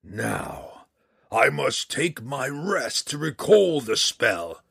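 A slow, booming red dragon, voiced by a male narrator, ancient, proud and menacing. The voice climbs higher and louder than the narrator's own and lands each word with heavy weight. It is close and dry, with a breathy edge. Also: big pitch swings, no room sound, heavily acted. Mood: roaring.

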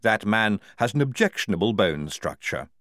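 A man's voice: on the low side, very animated, moderate pace, a bit bright, no echo, studio quality. A man voicing a blunt, matter-of-fact young woman soldier.

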